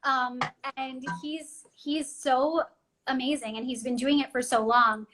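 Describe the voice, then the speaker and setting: Woman, young adult, high voice, bright tone, casual interview chat, frequent fillers. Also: steady tone, unhurried, a little hiss or hum, poor audio. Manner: chatty, many ums and restarts. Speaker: voice actress guest.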